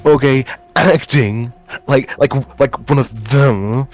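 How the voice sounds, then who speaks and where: A man's voice: medium pitch, slow, soft background music, natural voice. A bitter, agitated young man acted out by a man in an improvised game roleplay. His mid-range voice trips over repeated words, sarcastic and accusing. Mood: angry, accusing. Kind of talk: improvised in-character roleplay dialogue.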